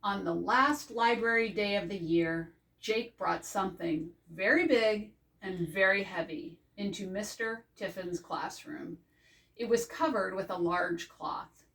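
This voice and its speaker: An older woman reads a picture book to children in a careful storytelling style. She takes her time over the phrases, with a medium-pitched voice. She is recorded indoors with the microphone some way off. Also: unhurried, a bit bright. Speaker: librarian reading aloud. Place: indoors before a green backdrop. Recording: distant microphone.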